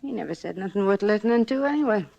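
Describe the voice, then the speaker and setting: An actress playing a blunt, deadpan middle-aged woman who runs a small hotel, in a 1950s radio drama. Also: mid-range voice, quick pace, creaky. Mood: sour. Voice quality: curt, deadpan, ungrammatical talk.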